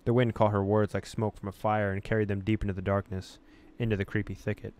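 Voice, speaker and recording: A man's voice: lowish voice, level tone, quick pace, a little mellow. A man hosting a let's-play, reading story text expressively and dropping in casual asides. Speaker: gaming video host.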